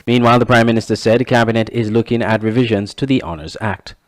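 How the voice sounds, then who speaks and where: A man, reporting sports and local news in a steady, measured read. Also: fairly low pitch. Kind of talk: scripted news reading.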